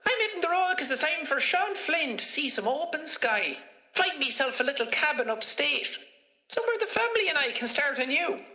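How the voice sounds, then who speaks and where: An actor playing a cheery, defiant working-class toy maker, folksy, in a game audio log. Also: male voice, very high-pitched, fairly quick, reverberant, muffled recording, heavily acted. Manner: folksy, animated. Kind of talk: audio log in a cartoon horror video game.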